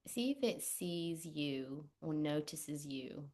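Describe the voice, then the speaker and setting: A woman leading a guided meditation, speaking gently and unhurriedly with long pauses. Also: sits low, lively intonation. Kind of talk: guided meditation, spoken instruction.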